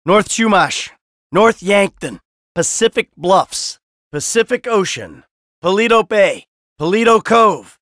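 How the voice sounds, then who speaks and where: A man's voice: high voice, animated, slow, a bit bright, no echo, clean studio sound, exaggerated voice. A man playing a cynical, short-tempered middle-aged American criminal in a video game, with a blunt, sardonic tough-guy delivery. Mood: flat listing.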